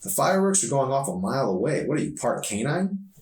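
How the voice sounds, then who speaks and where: A man's voice: medium pitch, big pitch swings, fast, darkish tone, voice pushed up, natural voice. Man voicing a sarcastic teenage girl, echoey room. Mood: concerned, prodding. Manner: teasing, sarcastic. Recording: echoey room, distant mic.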